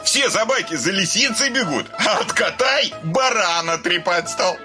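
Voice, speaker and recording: An actor playing a quarrelsome, whining hypochondriac suitor in a comic radio play, halting and gasping as he talks. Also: sits high, expressive, music in the background. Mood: argumentative. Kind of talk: theatrical comic radio drama acting.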